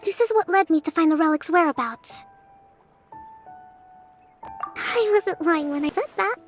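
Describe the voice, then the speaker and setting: A woman playing a gentle young priestess in a video game, speaking in a polite, measured, formal manner. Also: very high voice. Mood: composed. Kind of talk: video game cutscene acting. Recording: background music behind dialogue. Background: game music.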